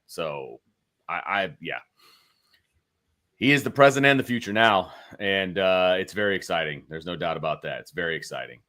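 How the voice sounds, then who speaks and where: A man's voice: deep voice, a bit bright. A man casually talking football as a sports show co-host, opinionated and conversational, repeating phrases for emphasis.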